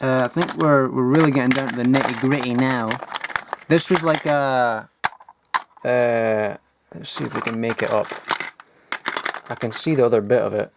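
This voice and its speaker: A man's voice: medium pitch, normal speed, gravelly, pauses often, noisy background. Rambling man sorting old toys. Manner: rambling, mumbling asides, swears casually, gets excited at finds. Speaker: toy collector vlogger. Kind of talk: casual off-the-cuff commentary while sorting toys. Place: cluttered loft.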